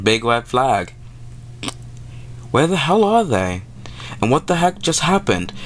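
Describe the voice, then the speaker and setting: A man's voice: medium pitch, a bit bright, slight background noise. A man hosting a gaming video, reading visual novel text aloud and adding wry, informal commentary.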